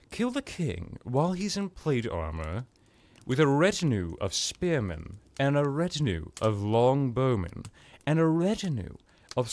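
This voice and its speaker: A man's voice: medium pitch, a little mellow. A man playing a haughty, centuries-old vampire in a roleplay monologue, pompous and dramatic, talking to the listener in a rambling, wry way. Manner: pompous, dramatic, rambling with pauses and whispered asides.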